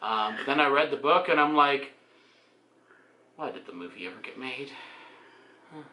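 A man in his forties talking casually about books as a co-host, in a halting, wry way. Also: medium pitch, animated, pushed and bright. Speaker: book vlogger, co-host. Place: small room at home. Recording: roomy home video sound.

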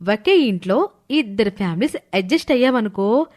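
A woman's voice: medium pitch, animated, normal speed, darkish tone, natural voice. A woman voicing a young, earnest working woman in a moral story cartoon. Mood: friendly, earnest. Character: young working wife and her chummy office friend. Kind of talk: animated moral story dialogue.

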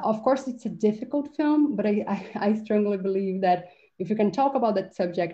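Woman, 30s, film director, earnest, halting, mellow tone, dull video call audio. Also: medium pitch. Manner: earnest, halting, restarts phrases. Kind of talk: online panel discussion. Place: home video call, virtual library background. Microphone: webcam mic on video call.

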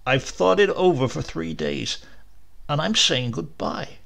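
A man voicing an eager, gentle old husband full of dreams in an audiobook story. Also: on the high side, a little slow, gravelly, full character voice. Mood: excited, resolute. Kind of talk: audiobook character voice.

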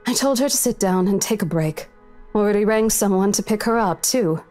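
A man voicing a calm, apologetic young woman who tries to smooth things over. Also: sits high, brisk, pitched above the performer's own voice, lightly acted. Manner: apologetic, soothing. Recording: game music behind.

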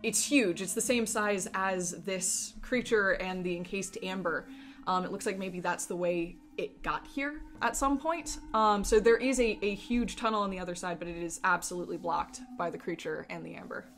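Female game master, medium pitch, descriptive fantasy narration over prominent music. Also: normal speed, fairly bright tone. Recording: music playing behind.

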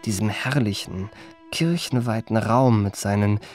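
A man's voice: on the low side, measured pace, a little mellow, lightly acted. An actor playing a suave young liftboy and charming con man, narrating his memoirs in a polished, ornate, self-satisfied way in a radio play. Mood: proud, polished. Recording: music behind the voice.

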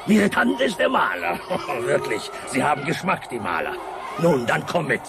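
A man playing a jovial, lecherous bull-man king in a radio drama, with a booming, leering, theatrical delivery. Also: wildly swooping pitch, normal speed, creaky, full character voice. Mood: boisterous. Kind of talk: German radio drama acting. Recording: music and crowd noise behind voice.

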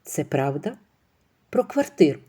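A woman's voice: low voice, very animated, measured pace, warm tone, slightly rough, heavily acted. A woman voicing a stern, curt young husband confronting his mother.

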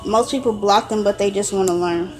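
A woman's voice: medium pitch, fairly quick, darkish tone, faint music behind, poor audio. A woman in a home kitchen, reading viewers' comments aloud to the cook from a little way off. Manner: reads out comments plainly.

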